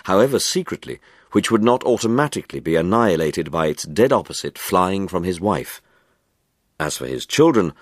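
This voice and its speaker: A man with a deep voice narrates a literary tale in a measured way. His pitch moves expressively through the sentences, and the recording is close and dry. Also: no room sound. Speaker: audiobook narrator. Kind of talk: scripted literary narration of a dark short story.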